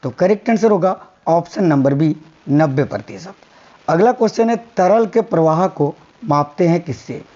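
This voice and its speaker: A hoarse man teaching a quiz-style science lesson for exam prep, repeating each answer and telling viewers to remember it. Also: a little high, expressive, moderate pace, mellow, lo-fi sound. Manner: repeats answers, coaxing "याद रखिएगा".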